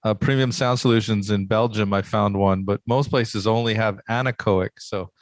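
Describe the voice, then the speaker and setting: A man's voice: fairly low pitch, fairly even intonation, normal speed. Curious male podcast host. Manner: interjecting, curious.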